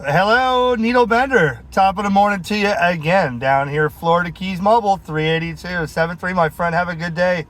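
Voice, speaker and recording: A man's voice: high voice, a little fast, hardly any pauses, a bit bright. A man in a vehicle talking casually as a CB radio operator, friendly, using radio lingo and call signs. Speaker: CB radio operator, video host. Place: inside a vehicle, mobile radio. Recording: clear background noise, vehicle and radio clicks. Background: radio noise and vehicle sound.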